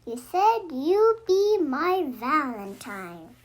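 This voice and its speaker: A female reader telling children's verse in an animated, expressive, sing-song storytelling style. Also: high-pitched, extremely slow. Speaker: children's book narrator.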